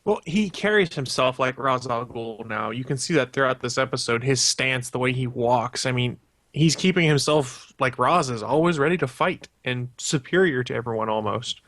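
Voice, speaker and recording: A man's voice: medium pitch, moderate pace, a bit bright, dry recording. A man co-hosting a podcast, talking casually about a television show. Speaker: podcast co-host. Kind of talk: casual TV recap chat.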